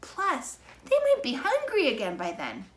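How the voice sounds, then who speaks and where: A cautious young storybook boy acted out by a woman, anxious and urgent, often hushed. His voice sits raised over some clear background noise. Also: high voice, wildly swooping pitch, a little slow, voice pushed up, heavily acted.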